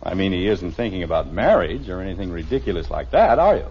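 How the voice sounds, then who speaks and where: A man's voice: sits low, very animated, quick pace. An American actor playing a wry, exasperated middle-aged father in a 1950s radio sitcom, with dry, teasing sarcasm. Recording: muffled, noisy old radio broadcast recording.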